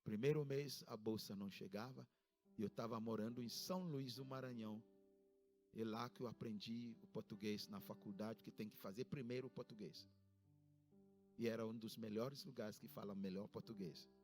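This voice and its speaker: Ghanaian-accented man preaching with soaring emphasis. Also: a little mellow. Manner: emphatic preaching with sudden high-pitched rises. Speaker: pastor. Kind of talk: sermon. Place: church service.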